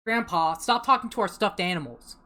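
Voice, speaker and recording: A woman's voice: mid-range voice, animated, fairly quick, bright, forceful tone. A woman playing a whiny, competitive, easily bored young grandchild in a comic play. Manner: whiny, insistent, childlike.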